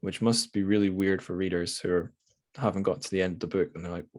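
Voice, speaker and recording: Low-voiced man hosting a podcast. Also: fairly even intonation, fast talker, a little mellow. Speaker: podcast host. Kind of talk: casual book discussion.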